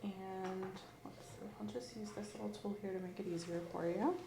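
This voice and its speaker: Woman, soft-spoken ASMR examiner who drifts into whispers; roomy recording with faint hiss. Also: mid-range voice, fairly even intonation, normal speed, vocal fry. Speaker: examiner in a roleplay. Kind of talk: ASMR medical exam roleplay.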